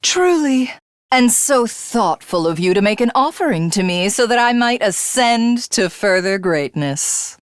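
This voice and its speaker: A woman's voice: fairly high pitch, expressive, measured pace, no echo, studio quality. A woman voicing a haughty alien villainess in a video game, grandiose, theatrical and condescending. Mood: condescending, cruel. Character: haughty female alien commander craving godhood.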